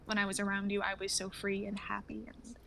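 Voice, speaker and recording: Hesitant, creaky-voiced regretful young woman acted out by a woman. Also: mid-range voice, monotone, fairly quick, a bit bright, slight background noise, poor audio. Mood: wistful, earnest. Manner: hesitant, earnest, heartfelt. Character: regretful young woman confessing love to an old friend. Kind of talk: dramatic script reading on a livestream.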